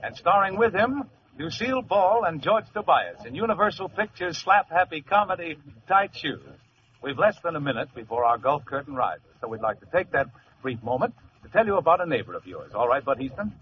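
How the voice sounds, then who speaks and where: Higher-voiced 1940s male radio host. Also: big pitch swings, fairly quick, slight background noise. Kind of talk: 1940s radio hosting, scripted introduction. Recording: muffled old radio broadcast.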